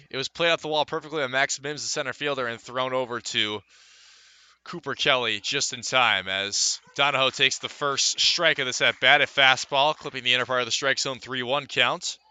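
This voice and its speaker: A man's voice: medium pitch, fairly even intonation, a little fast, bright, forceful tone, smooth. A man calling live baseball play-by-play for radio. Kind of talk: live radio sports commentary.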